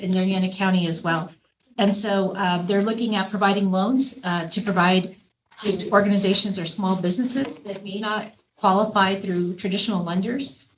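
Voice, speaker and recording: A woman with a medium-pitched voice introduces a guest speaker at a government board meeting. She speaks plainly, with a few fillers, over a faint background noise. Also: poor audio.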